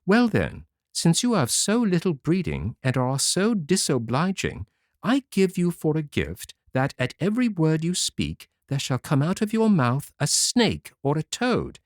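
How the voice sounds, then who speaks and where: A man voicing a calm, stern fairy who passes judgment without raising her temper. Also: fairly high pitch, dry recording, studio quality, pitched above the performer's own voice. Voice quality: composed, measured. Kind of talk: storybook reading.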